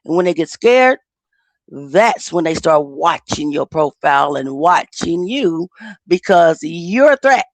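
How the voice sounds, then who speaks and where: A woman's voice: sits low, animated, unhurried, no room sound. Stuttering, insistent woman host. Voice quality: speech impediment. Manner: stuttering, repeats words, heated and insistent. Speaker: channel host. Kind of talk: casual commentary.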